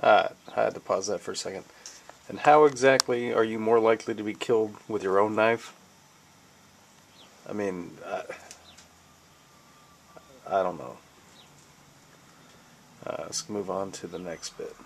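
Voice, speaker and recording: A man's voice: fairly low pitch, measured pace, long pauses, slight background noise. An American man giving casual off-the-cuff reaction commentary in a scoffing, sarcastic manner. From United States. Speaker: amateur video commentator. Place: indoors in a dim home room, filming a screen.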